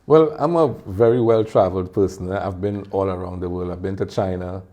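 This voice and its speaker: A man with a Trinidadian accent, talking as a guest in a casual interview and telling animated stories with frequent laughter. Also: deep voice, fairly quick, highs cut off. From Trinidad and Tobago. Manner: animated storyteller, laughs often. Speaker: guest, music video producer and singer.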